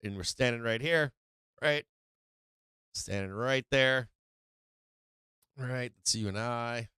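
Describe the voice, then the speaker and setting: A man's voice: medium pitch, takes it slowly, pushed and bright, smooth, dry recording, studio quality. Rambling casual male market streamer. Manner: rambling, slangy, many fillers and restarts. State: losing his voice. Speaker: live stream market show host.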